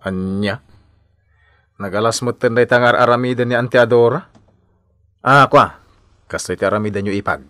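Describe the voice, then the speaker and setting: An actor playing a meddling, indignant brother-in-law in a radio comedy drama, with an emphatic, opinionated delivery. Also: male voice, medium pitch, normal speed, long pauses, bright, forceful tone, dry recording, clean studio sound, natural voice.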